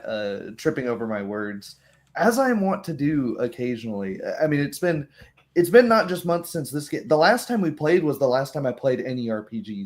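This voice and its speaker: A man speaking casually as the game master and host of a roleplaying stream, chatting about the game. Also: medium pitch.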